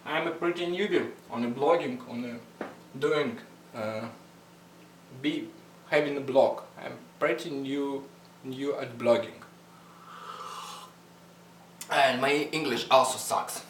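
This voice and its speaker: A young man with a Russian accent, vlogging in casual halting English, blunt and swearing often. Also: medium pitch, fairly bright tone. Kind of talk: casual rambling vlog. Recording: echoey room, mic at a distance, faint hum.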